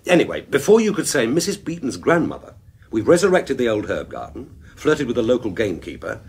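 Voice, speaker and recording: An actor playing a wry, easily exasperated English husband in a British radio drama, with a clipped, grumbling manner. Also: male voice, mid-range voice, sing-song, fairly quick, a little mellow, husky. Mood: wry, jovial.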